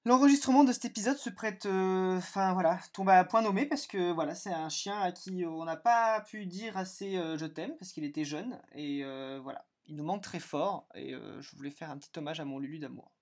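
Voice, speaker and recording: A man's voice: sits high, normal speed, hardly any pauses, darkish tone. A young man co-hosting a podcast in a casual, informal conversational style. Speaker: podcast co-host. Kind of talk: casual chat.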